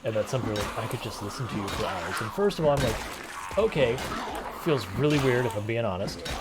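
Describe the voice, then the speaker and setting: A man streaming a game and chatting with viewers in a relaxed, rambling way, with a soft, soothing voice. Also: medium pitch, brisk, heavy background noise. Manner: relaxed, rambling, many fillers and restarts. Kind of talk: casual gameplay stream chat.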